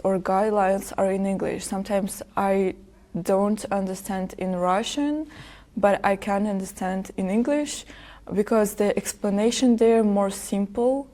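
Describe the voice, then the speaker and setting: A young woman, a student, talking thoughtfully on a podcast with slow, hesitant phrasing. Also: mid-range voice, dark tone. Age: young adult. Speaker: podcast guest. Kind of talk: casual conversation. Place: podcast studio.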